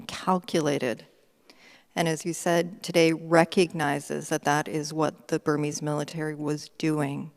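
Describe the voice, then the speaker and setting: A woman moderating a panel in a measured, clear way. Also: low-pitched. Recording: reverberant hall.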